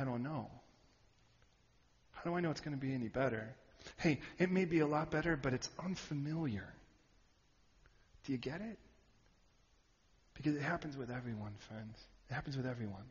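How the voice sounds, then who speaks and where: A man preaching a sermon, animated and joking with the audience, sometimes shouting out a word. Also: medium pitch. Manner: animated, shouting at peaks, jokey asides to the audience. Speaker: pastor. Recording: muffled hall recording.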